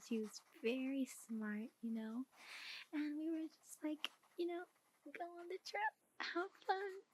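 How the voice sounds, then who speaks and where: A woman playing a flustered, defensive mother of a missing daughter, speaking softly and hesitantly in an ASMR roleplay. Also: sits high, a little hiss or hum, voice pushed up, low-quality recording. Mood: tearful, guilty. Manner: hesitant, emotional, rambling excuses. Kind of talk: ASMR roleplay, soft-spoken.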